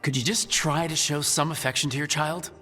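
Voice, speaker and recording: An earnest, protective adventurer in a video game, speaking directly and conversationally. His voice is slightly high and rings in a long reverb, with music behind. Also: fairly even intonation, a little fast, sharp tone. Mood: concerned, challenging. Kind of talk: video game dialogue acting. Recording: reverberant hall sound with background music.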